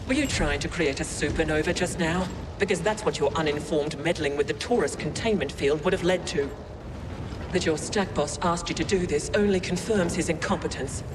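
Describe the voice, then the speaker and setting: A male actor as a haughty game villain from a ruling corporation. His voice sits a little high and carries condescending, formal disdain. It echoes through a big hall over noisy ambience. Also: natural voice. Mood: sardonic disdain. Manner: pompous, disdainful, measured formal phrasing. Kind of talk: scripted video game villain monologue. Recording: long hall reverb, noisy ambience behind.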